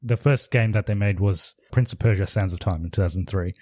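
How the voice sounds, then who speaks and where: A man's voice: lowish voice, fairly quick, mellow. A man co-hosting a podcast, casually reviewing a movie in a rambling, laid-back way. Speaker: podcast co-host.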